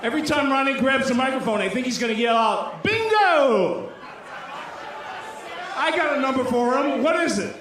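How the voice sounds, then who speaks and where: A man doing loud, combative, profane live stand-up, snapping back at hecklers. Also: very high-pitched, expressive, normal speed. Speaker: stand-up comic performing at a live show. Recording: echoey live venue, distant mic, noisy crowd. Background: loud crowd noise and laughter.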